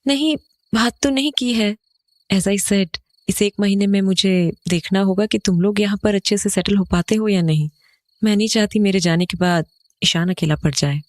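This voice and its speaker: A woman's voice: medium pitch, moderate pace, a little mellow, no echo, close to an everyday voice. An actress playing an uneasy, questioning mother and wife in an audio horror drama, mixing some English into her talk. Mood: thoughtful. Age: adult. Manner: questioning, conversational, Hindi mixed with English.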